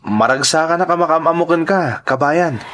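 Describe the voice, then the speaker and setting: A man's voice: on the high side, normal speed, fairly bright tone, lightly acted. A man playing a meek, bewildered newly arrived male worker in a radio drama, hesitant and questioning. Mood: puzzled.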